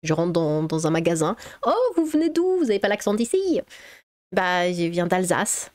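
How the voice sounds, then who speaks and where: A woman's voice: fairly low pitch, big pitch swings, normal speed, darkish tone, dry recording, clean studio sound. A woman from Alsace with a French accent, reading an article aloud as an online commentator and breaking in with sarcastic, exasperated asides. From Alsace, France.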